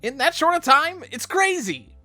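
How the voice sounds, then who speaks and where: A man's voice: very high voice, sing-song, normal speed, sharp tone, faint music behind. A man co-hosting a fan show, delivering scripted back-and-forth commentary.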